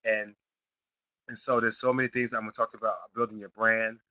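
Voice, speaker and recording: A middle-aged man from Philadelphia hosting a live online marketing class, slightly raspy from a sniffle, repeating himself and building to emphatic points. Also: fairly low pitch, level tone, brisk, sharp tone, dry recording, dull audio. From Philadelphia, Pennsylvania. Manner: repetitive, restarts phrases, builds to emphatic points. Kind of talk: live teleseminar class, casual coaching.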